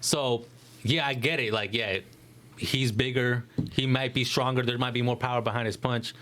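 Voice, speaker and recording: A man's voice: medium pitch, steady tone, moderate pace, a bit bright. A young man chatting casually on a podcast panel in a slangy, matter-of-fact way. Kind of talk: casual group chat. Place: small room, indoor podcast setup. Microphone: close podcast microphone.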